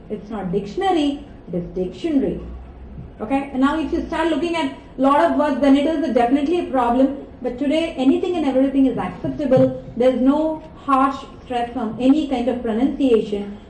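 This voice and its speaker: A woman with an Indian English accent teaches a class in an animated way and keeps asking the students questions. Her voice is high but mellow. She is heard from some distance in an echoey lecture hall, with a faint room hum behind her. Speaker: communication skills trainer.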